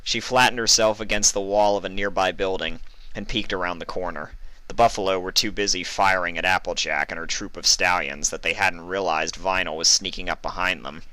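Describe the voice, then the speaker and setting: Man, low voice, bright forceful tone, dry close recording, dramatic storybook narration. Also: steady tone, brisk, no echo, rough recording. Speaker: fan fiction reader.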